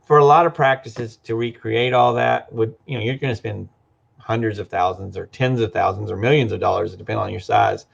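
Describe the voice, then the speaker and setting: A man's voice: fairly low pitch, a little fast, creaky, husky. A man who is a guest in an interview, explaining his business in a loose, conversational way with frequent restarts. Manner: conversational, many restarts and "you know". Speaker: practice management business guest. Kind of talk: casual interview. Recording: dull lo-fi audio.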